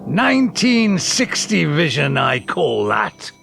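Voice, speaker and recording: A man's voice: on the high side, wildly swooping pitch, slow, gravelly, a bit bright, faint music behind, exaggerated voice. An actor playing a forgetful, grumbling man of eighty who muses theatrically and mocks himself in an audio drama monologue. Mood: wry grumbling. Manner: theatrical, musing, self-mocking.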